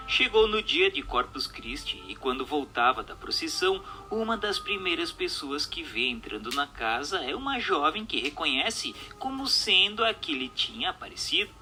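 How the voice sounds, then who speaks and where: Guy narrating a dramatic story, kinda high voice for a man, really animated, measured reading. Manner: measured, expressive read delivery.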